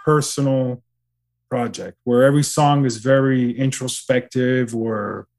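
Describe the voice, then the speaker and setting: A man from Canada, a podcast co-host, chatting casually with halting restarts and free swearing. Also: mid-range voice, fairly even intonation, darkish tone. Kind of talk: casual chat.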